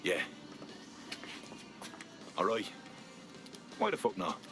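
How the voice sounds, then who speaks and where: A man's voice: sits low, very animated, slow, music in the background, full character voice. A man acting a reluctant young everyman recruit in a video game, with a British accent and casual, slangy delivery. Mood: resigned.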